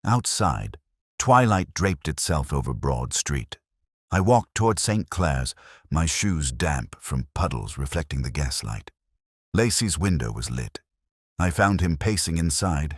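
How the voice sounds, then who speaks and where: A man narrating a first-person detective story in a measured, literary, brooding manner, with a husky voice. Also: very deep voice, no room sound, clean studio sound.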